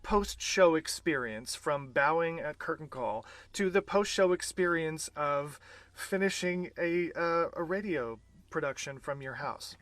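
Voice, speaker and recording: A man's voice: fairly high pitch, expressive, unhurried, fairly bright tone, no room sound. A middle-aged American man hosting a podcast, introducing and interviewing a guest. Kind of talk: scripted introduction and live conversational interview.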